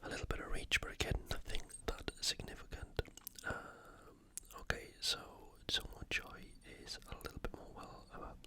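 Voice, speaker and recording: A British ASMR host previewing sports in a soft, breathy, unhurried whisper. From United Kingdom. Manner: soft, hushed, unhurried delivery. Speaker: video host.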